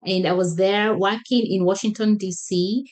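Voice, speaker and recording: A woman's voice: mid-range voice, fairly even intonation, moderate pace, a little mellow. A woman from Kenya with a Kenyan accent, speaking as an interview guest in casual chat, enthusiastic and often restarting her phrases.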